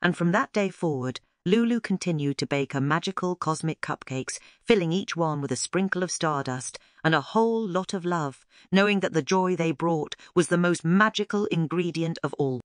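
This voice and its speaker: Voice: mid-range voice, lively intonation, normal speed, hardly any pauses, clear, resonant tone, dry recording, studio quality. A narrator reading a scripted children's story aloud as a storyteller.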